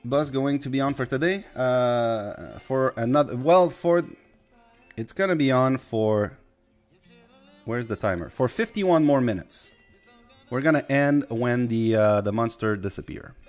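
Young man, light Quebec French accent, relaxed rambling streamer commentary over faint background music. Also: mid-range voice, measured pace. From Quebec, Canada. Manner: rambling, chatty, talks to chat. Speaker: game streamer. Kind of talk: casual gameplay commentary.

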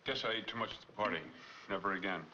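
An American actor playing an uneasy, guarded middle-aged husband in a 1940s film noir. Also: male voice, fairly low pitch, fairly even intonation, quick pace, slight background noise. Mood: evasive. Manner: guarded, evasive. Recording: dull 1940s film soundtrack, loud music.

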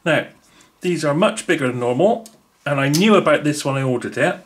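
Man, casual unboxing chat, thinking aloud, fillers and pauses, indoor tabletop. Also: fairly high pitch, expressive. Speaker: unboxing video host.

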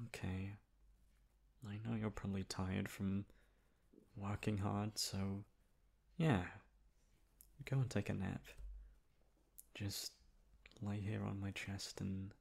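A man voicing a tender young boyfriend in an ASMR roleplay, soft, soothing and half-whispered. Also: lowish voice, vocal fry, breathy edge, heavily acted.

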